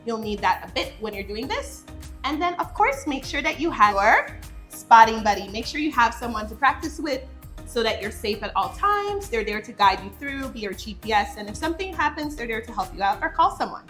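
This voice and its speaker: A young woman gives pole dance safety tips in an upbeat, playful way. Her voice is bright and a bit high. Faint music plays in the background. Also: big pitch swings, a little fast, echoey room. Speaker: pole dance instructor, co-host. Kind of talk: casual tutorial instruction.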